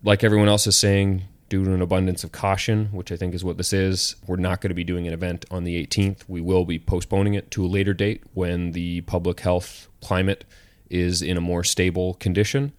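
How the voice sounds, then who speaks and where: A man's voice: low-pitched, fairly quick, no room sound. A man hosting a podcast in casual, rambling chat, a little congested. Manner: relaxed, rambling, frequent restarts. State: slightly congested. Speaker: podcast host.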